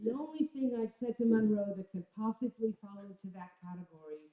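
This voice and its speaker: A woman performs a literary reading on stage, telling the story expressively with deliberate pauses and emphasis. Her pitch is middling. The sound is thin and phone-like, with plenty of room echo around the voice. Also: background noise. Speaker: reader performing a literary memoir at a live event. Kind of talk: dramatic literary reading before a live audience.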